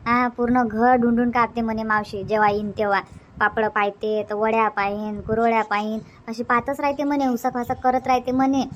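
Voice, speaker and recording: Woman voicing chatty cartoon aunt, high pitch, Vidarbha Marathi accent, gossipy, suspicious, emphatic. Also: flat delivery, fairly quick, lo-fi sound, slight background noise, lightly acted. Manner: gossipy, emphatic, rhetorical questions. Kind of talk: cartoon comedy dubbing.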